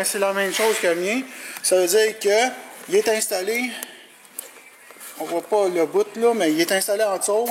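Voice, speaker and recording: A man's voice: high-pitched, a little hiss or hum. A man with a Quebec French accent giving a casual, colloquial hands-on product walkthrough as an installer. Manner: informal, colloquial, hands-on explaining. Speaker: CB radio installer presenting his work.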